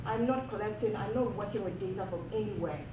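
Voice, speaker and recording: A woman's voice: medium pitch, fast talker, background noise. A woman from Ghana, an academic giving earnest, impassioned panel remarks and repeating phrases for emphasis. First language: not English. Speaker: panel speaker, PhD academic. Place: university event room. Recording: echoey room, distant mic, dull sound.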